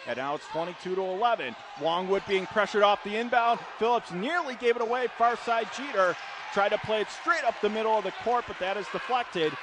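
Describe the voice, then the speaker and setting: Male radio play-by-play caller, high register, projected and excitable, courtside amid loud arena noise. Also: brisk. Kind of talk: live basketball play-by-play commentary. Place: courtside in an indoor college arena. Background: gym noise, bouncing basketballs.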